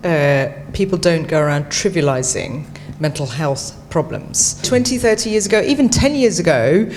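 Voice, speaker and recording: A woman from Bangladesh speaking as a parliamentary candidate at a hustings, earnest and measured. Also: deep voice, animated, darkish tone. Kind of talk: debate answer at a hustings. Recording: echoey hall with faint hum.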